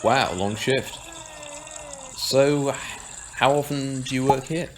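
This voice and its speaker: A man's voice: medium pitch, slow, a little hiss or hum, lightly acted. A man acting a carefree, joking pub regular in an amateur audio drama, talking in a casual, slightly hesitant, teasing way. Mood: curious, teasing.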